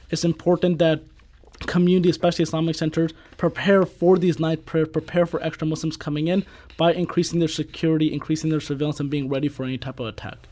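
A man's voice: a little high, dark tone. A man from the United States speaking as an advocate in a radio interview, explaining things fluently and articulately. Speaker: advocacy group director, panel guest. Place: radio studio.

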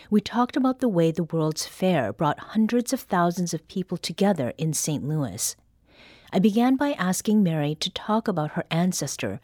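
A woman hosting a public radio interview program, reading a measured scripted introduction. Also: lowish voice, darkish tone, dry recording, clean studio sound. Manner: measured scripted introduction, then brief listening responses.

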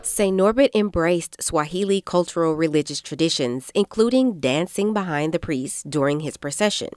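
A woman radio reporter narrating a news feature in a measured, even delivery. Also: deep voice, clear, resonant tone, no room sound.

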